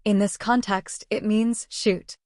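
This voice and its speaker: A woman teaching a language lesson, explaining phrases in an even, scripted way. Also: medium pitch, slow pace, dry recording.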